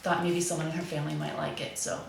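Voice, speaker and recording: A woman's voice: low voice, little pitch movement, quick pace, gravelly. A woman sharing an informal personal reminiscence with a gathering. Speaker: artist presenting a painting at a memorial. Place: echoey back room at a gathering. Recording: very echoey, distant mic, faint noise.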